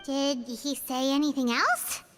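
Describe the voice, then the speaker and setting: A woman voicing a small, childlike fairy girl in a video game, squeaky, curious and expressive. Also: measured pace, soft background music. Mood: uneasy. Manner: expressive, refers to self by name. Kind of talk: video game character acting.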